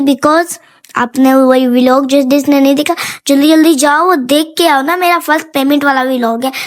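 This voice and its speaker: Woman vlogger, bright high voice, rambling and chatty, a bit hoarse. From Pakistan. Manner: chatty, repeats phrases, playful asides. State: hoarse from a sore throat and fever. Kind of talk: casual vlog narration.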